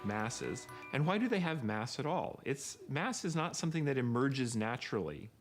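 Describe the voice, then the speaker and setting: A man's voice: medium pitch, big pitch swings, moderate pace. A male physicist explains his field in a documentary interview. He speaks thoughtfully and restarts phrases now and then. Faint music plays under him.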